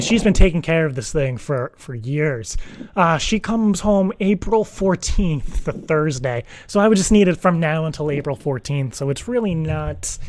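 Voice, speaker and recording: An earnest, worried dad, put on by a male prank caller, speaks in a slightly high voice with a very dry sound. He rambles haltingly and circles back over his own words as he pleads his case in an improvised phone prank. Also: darkish tone, dry recording, lightly acted. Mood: earnest, hesitant. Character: earnest, anxious divorced dad seeking a teddy-bear sitter.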